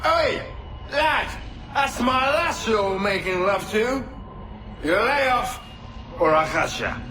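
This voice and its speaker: An actor playing a jealous, blustering pirate rogue in a video game cutscene, loud and exaggerated. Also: male voice, very high voice, lively intonation, slow pace, heavily acted. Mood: jealous threat. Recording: echoey, clearly noisy ambience.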